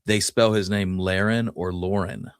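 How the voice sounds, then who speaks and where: A man's voice: sits low, fairly even intonation, a little slow, smooth, dry recording, studio quality. A man co-hosting a history podcast, talking casually and musing his way through the details. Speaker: podcast co-host.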